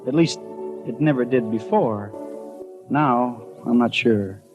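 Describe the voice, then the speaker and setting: A man's voice: mid-range voice, takes it slowly, music in the background, close to an everyday voice. An actor playing an earnest, imaginative small-town postman with a folksy, hesitant manner in a 1950s radio drama. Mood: confiding, sheepish. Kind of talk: 1950s radio acting, first-person storytelling and dialogue. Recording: dull old radio recording.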